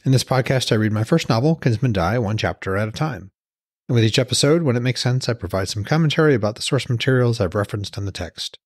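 A man's voice: medium pitch, expressive, quick pace, no echo, clean studio sound. A man reading scripted narration as author and podcast host.

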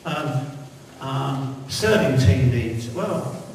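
A middle-aged man leading a church meeting, talking in a relaxed, rambling, encouraging way into a handheld mic. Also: mid-range voice, very slow. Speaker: church leader. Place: large church hall indoors. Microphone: handheld mic, filmed from far back. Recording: echoey hall audio from far off, faint hiss.

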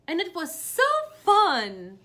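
A woman voicing a small, upset, excitable young pig from a picture book, with drawn-out, whiny exclamations. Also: high voice, voice pushed up, heavily acted. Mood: urgent, excited. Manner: wailing, drawn-out, exclamatory delivery.

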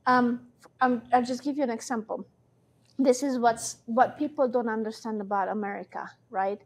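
A woman's voice: fairly high pitch, fairly even intonation, normal speed. A middle-aged woman from Hungary with a Hungarian accent, answering in a conversational interview in an emphatic, opinionated way. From Hungary, born in Romania. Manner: emphatic, opinionated, repeats points for stress. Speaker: interview guest, small business owner. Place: classroom, indoors. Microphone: lapel mic.

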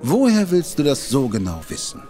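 An actor playing a proud, protective detective who speaks emphatically and with indignation. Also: male voice, medium pitch, huge pitch swings, normal speed, mellow, faint music behind. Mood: indignant, lively. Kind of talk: German audio drama acting. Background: faint background score.

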